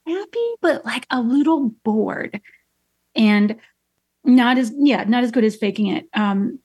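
Thoughtful woman from Chicago, slightly high voice. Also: expressive, measured pace, a little mellow, husky. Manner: thoughtful, lots of ums and restarts. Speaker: guest artist. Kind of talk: interview storytelling.

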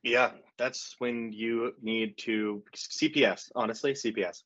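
A thirty-year-old man with a husky voice trades crude, self-mocking jokes as a podcast co-host. His humor stays dry, and his pitch sits in the middle range. The audio sounds dull and lo-fi. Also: fairly even intonation, measured pace, fairly bright tone. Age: 30s. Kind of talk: casual banter.

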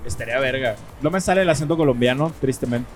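A young man with a Mexican accent, his voice sitting in a middle range, co-hosts a casual podcast. He talks in relaxed, slangy Spanish and argues back when ribbed, with light music running underneath. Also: mid-range voice, quick pace. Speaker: podcast co-host. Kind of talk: casual chat.

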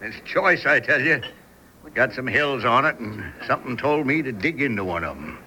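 An actor playing a cocky, scheming frontier homesteader with rustic speech in an old-fashioned Western radio drama. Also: male voice, mid-range voice, lively intonation, normal speed, pushed and bright. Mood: boastful. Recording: noisy 1970s radio drama broadcast.

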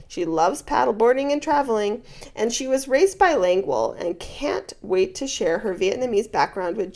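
Woman podcast co-host, wry and chatty, lively pitch. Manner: chatty, wry, laughs often. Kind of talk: casual chat reading cast bios.

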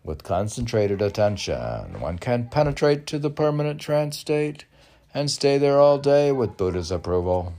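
An older man reading his own poetry aloud in a halting manner, mixing recitation with casual asides. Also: mid-range voice, sing-song, mellow. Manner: halting, spells out and repeats words. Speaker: poet reading own work.

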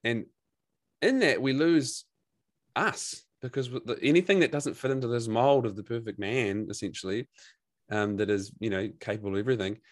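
A man with a New Zealand accent, a men's coach talking as a podcast guest in a relaxed, rambling way with many restarts. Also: medium pitch, moderate pace. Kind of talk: casual podcast conversation.

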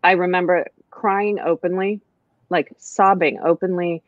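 A woman's voice: fairly low pitch, fairly even intonation, a little slow, no room sound. Reflective woman, hesitant, dull recording. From United States. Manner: reflective, hesitant with many fillers. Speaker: guest, writer. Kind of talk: casual conversation.

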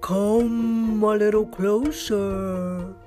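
A woman's voice: mid-range voice, steady tone, drawn-out words, music in the background. A woman voicing a dreamy, drooling storybook cow with a mooing drawl. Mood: hungry, coaxing.

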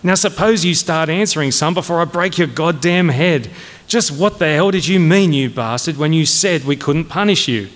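A man's voice: on the high side, very animated, moderate pace, hardly any pauses, fairly bright tone, voice pushed up. A man voicing a bullying, irascible army colonel in a comic audiobook, barking and domineering. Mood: furious. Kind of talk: comic dramatised audiobook reading. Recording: long echoing hall reverb.

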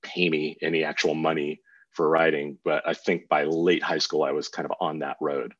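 A middle-aged American man with a husky voice, telling stories about himself as an interview guest in a casual, rambling, self-deprecating way. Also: extremely low pitch, level tone, normal speed. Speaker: guest author. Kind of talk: reflective interview storytelling. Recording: dull, roomy lo-fi sound.

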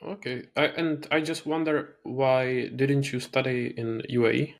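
A man's voice: medium pitch, steady tone, a little slow, gravelly. A man hosting an interview, curious and easygoing, asking follow-up questions. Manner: curious, asks follow-up questions, laughs easily. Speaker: interviewer, host. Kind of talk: casual interview.

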